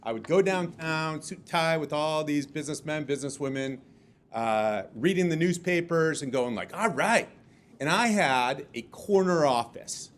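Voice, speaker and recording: A man's voice: on the high side, normal speed. Joking man from Chicago's south suburbs. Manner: joking and animated, plays to the audience. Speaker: franchise executive, panelist. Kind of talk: joking storytelling on a live podcast panel. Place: office podcast studio with live audience.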